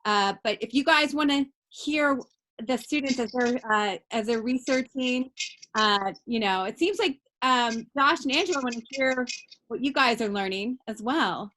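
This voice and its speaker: A woman's voice: a little high, normal speed, rough recording. A woman college teacher hosts a class video call, talking in a chatty way with lots of fillers. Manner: warm, chatty, many fillers.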